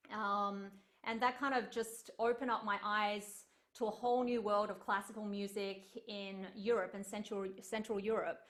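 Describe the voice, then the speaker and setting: A woman's voice: mid-range voice, a bit bright. A young woman giving an online lecture as a cellist, chatty and earnest, with frequent ums, over a video call. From Australia. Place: home room on a video call.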